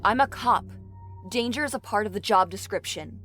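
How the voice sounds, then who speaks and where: A woman's voice: mid-range voice, normal speed, fairly bright tone, soft background music, lightly acted. A woman playing a tough, impatient police captain in an audio drama, blunt and exasperated. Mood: irritated. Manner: brusque, exasperated.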